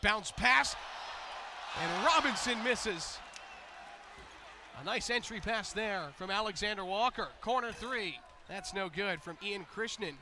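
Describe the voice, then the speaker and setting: An American man doing energetic live basketball play-by-play commentary, building to excited calls on big plays. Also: high-pitched, a little slow, long pauses, background noise.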